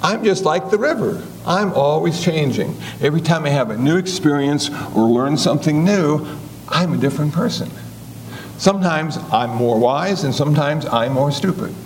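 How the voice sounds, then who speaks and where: A man in his seventies giving a relaxed, joking talk on Buddhist teachings in a folksy, conversational way. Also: fairly high pitch, moderate pace, a little hiss or hum, low-quality recording. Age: 70s. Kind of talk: humorous dharma talk.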